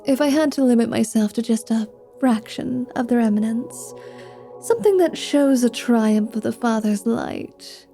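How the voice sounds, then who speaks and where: A woman's voice: fairly high pitch, measured pace, creaky, mellow, faint music behind. A woman playing a sly, flirty, irreverent vampire who poses as a pious paladin, teasing and sarcastic, in an ASMR roleplay drama. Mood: feigned piety. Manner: teasing, sarcastic, feigned piety.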